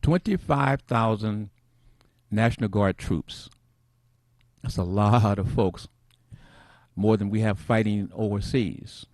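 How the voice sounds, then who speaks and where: A middle-aged man hosting a casual talk show, soft-spoken and rambling, who addresses his viewers as family. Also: fairly low pitch, slow, long pauses, dry recording. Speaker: host.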